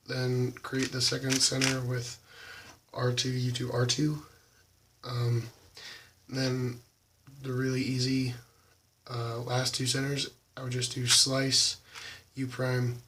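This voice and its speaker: A slightly hoarse young man casually talking through how he solves a puzzle cube, halting with lots of ums. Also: mid-range voice, distant mic, slight background noise. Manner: halting, many ums, soft and quiet. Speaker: YouTube cubing channel host. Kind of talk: casual tutorial walkthrough.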